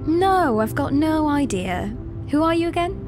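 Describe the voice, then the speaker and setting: A woman's voice: high voice, sing-song, unhurried, music in the background. A woman playing a contented, affectionate wife in a radio drama, with a playful way of talking. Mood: playful, fond.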